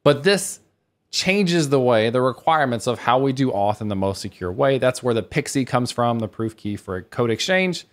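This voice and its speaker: A man's voice: mid-range voice, expressive, normal speed. A man presenting a technical conference talk in a conversational, reassuring way, explaining things plainly and informally. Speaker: conference speaker, developer teaching web development.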